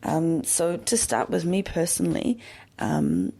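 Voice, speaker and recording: A young woman hosting a podcast, talking in a slow, reflective personal monologue with many pauses and fillers. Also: lowish voice, vocal fry, warm tone, husky. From born in Yemen, raised in New Zealand. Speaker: podcast host.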